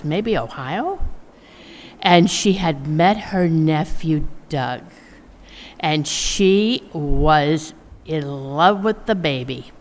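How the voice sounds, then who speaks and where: Slow-spoken woman in her seventies, echoey hall. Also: lowish voice, a little mellow. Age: 70s. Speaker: college friend giving a eulogy. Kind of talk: reminiscing memorial speech. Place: large church, at a memorial gathering. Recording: long echo of a large church hall.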